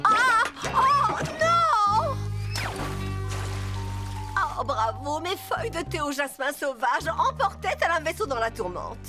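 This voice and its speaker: A woman's voice: extremely high pitch, big pitch swings, slow pace, over music, heavily acted. An actress playing a genteel, cheerful older mother of pirates in a cartoon, dainty, theatrical and sing-song. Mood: dismayed. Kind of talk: French-dubbed children's cartoon.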